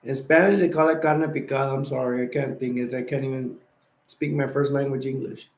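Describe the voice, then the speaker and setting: A tired, sleepy man hosting a casual late-night live stream, rambling and giving viewers shout-outs. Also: medium pitch, fairly even intonation, a little fast. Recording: echoey room.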